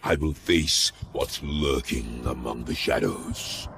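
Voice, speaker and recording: Grim fanatical inquisitor, super low slow growly voice, menacing game monologue, music under it. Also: male voice, full character voice. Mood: grim resolve. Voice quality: very deep, gravelly. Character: grim, zealous male inquisitor, menacing and solemn. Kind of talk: dramatic scripted game monologue.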